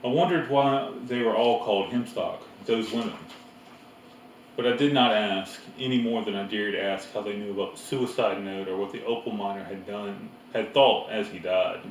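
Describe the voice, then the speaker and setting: A man narrates a story, reading aloud in a fairly low voice. The room is audibly echoey and he sits a little away from the mic. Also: fairly even intonation, moderate pace. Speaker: reader. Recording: echoey room, distant mic, faint noise.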